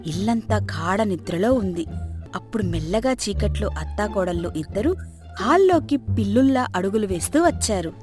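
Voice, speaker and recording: A woman's voice: medium pitch, animated, moderate pace, darkish tone, soft background music. A woman narrating a comic moral story as a scripted storyteller.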